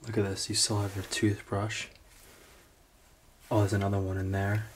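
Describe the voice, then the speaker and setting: A man's voice: on the low side, completely flat delivery, normal speed, a little hiss or hum. An American man giving casual, unscripted vlog commentary, musing aloud as he explores indoors. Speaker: urban explorer host. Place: indoors, rooms of an old house.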